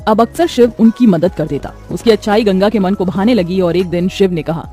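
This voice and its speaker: Casting: female storyteller for scripted moral tales, medium pitch, faint music underneath. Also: a little fast, warm tone.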